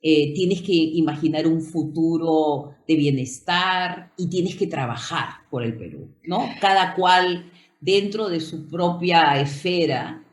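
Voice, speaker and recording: A woman's voice: sits low, takes it slowly, reverberant. A woman guest, talking reflectively and often ending phrases with a questioning "no". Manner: thoughtful, repeats words, ends phrases with "¿no?". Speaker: historian guest. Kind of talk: reflective interview talk.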